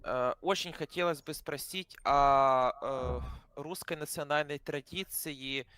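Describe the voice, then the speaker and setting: A man's voice: on the high side, slow pace, pushed and bright, clean tone. A man from Ukraine, answering questions in a video chat and speaking hesitantly with many fillers. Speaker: chat guest.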